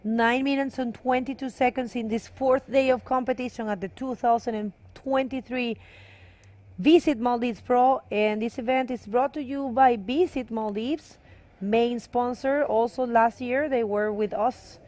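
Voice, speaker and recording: A woman's voice: on the high side, fairly even intonation, slow, a little mellow. A woman from Venezuela with a Venezuelan accent tinged with Canarian, giving bilingual live commentary on a bodyboarding contest and switching between English and Spanish. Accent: Venezuelan Spanish, mixed with Canarian.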